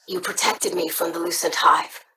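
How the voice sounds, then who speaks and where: A woman's voice: on the low side, fairly even intonation, moderate pace, pushed and bright, distant mic. An actress playing an earnest, thoughtful young female alien scholar in a game, speaking formally. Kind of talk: game character dialogue.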